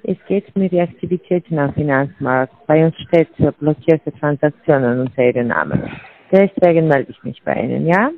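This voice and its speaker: A woman's voice: low voice, moderate pace, creaky, no room sound. Scam caller woman on tinny phone line. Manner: broken German, repeats scripted lines.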